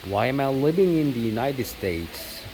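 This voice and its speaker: Korean-born guy, korean first language, rambling vlog chat full of ums, steady rain sound behind him. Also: medium pitch, moderate pace. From South Korea. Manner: rambling, many fillers and self-corrections. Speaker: vlogger. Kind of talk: casual talking-to-camera monologue. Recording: steady rain noise in background.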